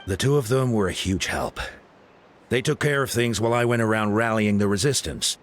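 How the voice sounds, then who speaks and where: An actor playing an earnest, conciliatory coach and resistance organizer in a video game, speaking courteously. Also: male voice, lowish voice, fairly quick, lightly acted.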